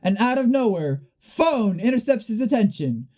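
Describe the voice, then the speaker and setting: An excitable play-by-play sports announcer in a radio comedy, acted by a young woman. The delivery is showy and dramatic, with big emphasis on each call, yet the tone stays mellow and rounded. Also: on the high side, moderate pace. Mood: excited, amazed. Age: young adult. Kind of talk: parody live sports commentary in a radio play.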